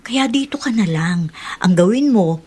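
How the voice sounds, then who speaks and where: Casting: principled Filipina wife, mid-pitched, persuasive, gently bossy, radio drama. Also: female voice, expressive, unhurried, breathy edge. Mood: persuading, coy. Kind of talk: Tagalog radio drama acting.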